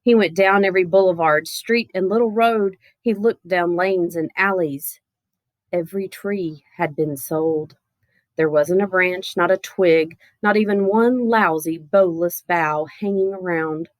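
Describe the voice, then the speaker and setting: A woman reading a children's picture book aloud in a playful, expressive way with theatrical emphasis. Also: on the low side, measured pace, no room sound. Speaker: storybook reader.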